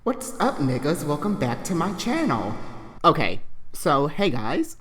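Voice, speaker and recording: A man's voice: on the high side, sing-song, a little slow, fairly bright tone, slightly rough. A man hosting online in a slangy, irreverent, giggly way, reading fan fiction aloud with casual off-the-cuff commentary. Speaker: online video host and fan fiction reader.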